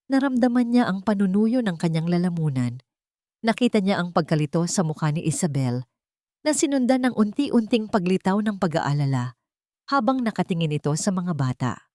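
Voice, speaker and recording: A woman's voice: on the low side, big pitch swings, moderate pace, dark tone, no echo, studio quality. A woman reading a scripted romance story as a dramatic narrator.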